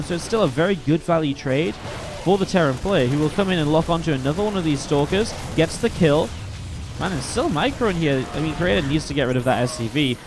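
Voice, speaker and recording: A man commenting play by play on a strategy video game match, a little sniffly from a cold, steady and then more excited in the fights. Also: a little high. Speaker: esports caster.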